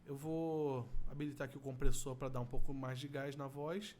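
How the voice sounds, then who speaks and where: A man's voice: mid-range voice, steady tone, moderate pace, darkish tone, a little hiss or hum. A middle-aged man with a Brazilian accent, explaining vocal effects as an instructor in a tutorial. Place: small indoor room at a computer.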